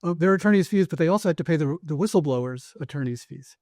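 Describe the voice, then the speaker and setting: A man speaking as a guest lawyer in an interview, giving measured, careful answers with occasional hesitation. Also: a little high, expressive, darkish tone, no room sound, studio quality. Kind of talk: conversational interview.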